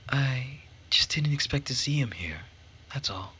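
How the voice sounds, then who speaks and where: A man's voice: medium pitch, animated, unhurried, bright, forceful tone, husky, pitched above the performer's own voice, heavily acted. A man voicing an anxious, timid young daughter in a visual novel, speaking hesitantly and defensively. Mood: evasive. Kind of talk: visual novel read-aloud. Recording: background noise.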